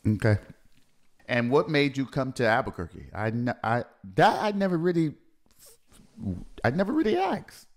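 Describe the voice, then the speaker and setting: A man's voice: medium pitch, very animated, moderate pace, pauses often. A man hosting a casual podcast, asking a guest questions in a playful, teasing way. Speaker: podcast host. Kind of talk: casual interview chat.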